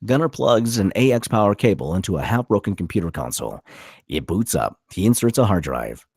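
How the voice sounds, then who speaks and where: A man with a low, rough-edged voice narrates stage directions from a screenplay at a table read. His pitch moves around in an animated way, and the sound is dry and close. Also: brisk, no echo.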